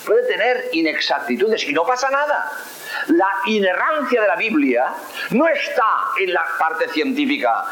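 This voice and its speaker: Man lecturing on faith, high voice, swooping intonation, roomy hissy old recording. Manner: rhetorical questions answered emphatically, animated. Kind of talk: lively catechetical lecture.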